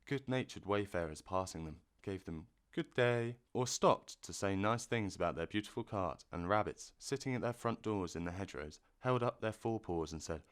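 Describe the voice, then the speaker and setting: Deep-voiced male storybook narrator. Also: animated, moderate pace, few pauses, smooth.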